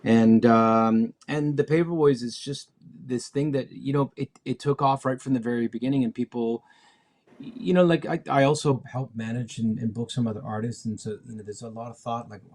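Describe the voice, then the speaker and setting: A man's voice: medium pitch, fairly even intonation, normal speed, a little mellow. Reflective man in a casual interview. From Mexico City, moved to Canada as a teenager. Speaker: musician, interview guest.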